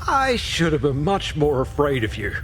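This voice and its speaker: An actor playing a brash, roguish spaceship captain in a video game, speaking in a cheeky, informal way. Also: male voice, a little high, huge pitch swings, moderate pace, fairly bright tone, a little hiss or hum. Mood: taken aback. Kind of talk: scripted video game character dialogue.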